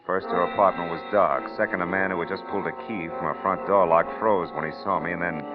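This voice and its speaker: An actor playing a tough, wisecracking, world-weary private detective with an American accent, in the clipped style of forties radio drama. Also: male voice, low voice, fairly even intonation, fast, natural voice. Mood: wry narration. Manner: hard-boiled, sardonic, clipped. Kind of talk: 1940s-50s radio detective acting and first-person narration. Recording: old muffled radio recording, music behind.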